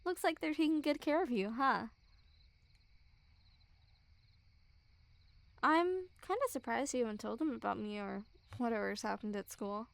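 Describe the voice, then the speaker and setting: A woman's voice: a little high, brisk, creaky, no room sound. A young woman playing a smug, mocking school bully girl who teases with a hint of care, in an intimate ASMR roleplay. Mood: nosy, teasing. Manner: mocking, teasing, giggly. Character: teasing, smug school bully girl, secretly a little caring. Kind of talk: ASMR girlfriend roleplay, intimate one-sided dialogue.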